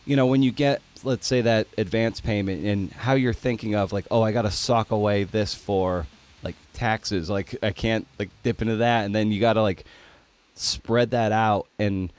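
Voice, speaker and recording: A man's voice: on the low side, fairly even intonation, moderate pace, a little mellow. A man speaking as a podcast host, introducing and interviewing a guest.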